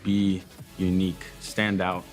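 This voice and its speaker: Man, interviewee: very low voice, casual and eager, music bed. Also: monotone, takes it slowly. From Florida, United States. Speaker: map creator in a team. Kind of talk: interview soundbites.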